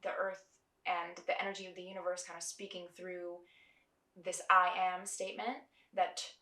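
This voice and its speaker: Soft-spoken woman, roomy and distant. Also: on the low side, little pitch movement. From New Mexico. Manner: reflective, earnest, measured. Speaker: singer-songwriter. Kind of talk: documentary interview.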